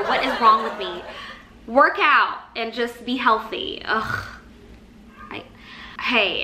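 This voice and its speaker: A woman's voice: fairly high pitch, reverberant, a little hiss or hum. A woman in her thirties from the Philippines, with Tagalog as her first language, chatting casually to the camera in her living room and gesturing as she talks. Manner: chatty, expressive hand gestures. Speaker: lifestyle vlogger. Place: living room with Christmas tree.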